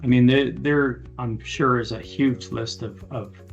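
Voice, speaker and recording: A man speaking as an expert on an aviation podcast, explaining things conversationally and haltingly with informal examples. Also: medium pitch, steady tone, vocal fry, lo-fi sound, soft background music.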